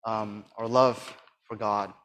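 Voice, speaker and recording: A soft-spoken man giving a sermon and reading Bible verses, hesitant with fillers and restarts, pressing hard on key phrases. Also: on the low side, level tone, takes it slowly. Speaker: church speaker.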